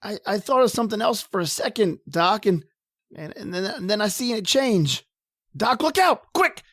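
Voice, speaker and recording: A woman playing a timid, uneducated male lab assistant who stammers and pleads in rough slangy speech. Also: medium pitch, sing-song, normal speed, no room sound, studio quality. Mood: panicked. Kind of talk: old-time radio horror acting.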